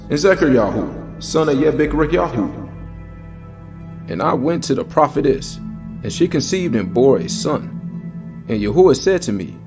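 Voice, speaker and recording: A man's voice: medium pitch, darkish tone. A man narrating a dramatized Bible reading in a solemn, declamatory and emphatic manner. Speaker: scripture narrator. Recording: echoing voice over background music. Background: background music.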